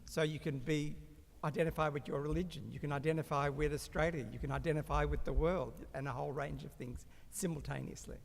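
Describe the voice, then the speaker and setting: Gravelly, higher-pitched man in a hall. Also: steady tone, a little fast, few pauses. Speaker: panelist. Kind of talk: explaining in a panel discussion. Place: large indoor event hall. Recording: echoey hall public address sound.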